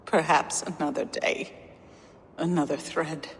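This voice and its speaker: An actress playing a solemn young goddess seer in a video game, breathy, measured and wistful. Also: female voice, sits low, fairly even intonation. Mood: hopeful, searching. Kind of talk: dramatic game cutscene acting. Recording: long cavernous reverb, faint background noise.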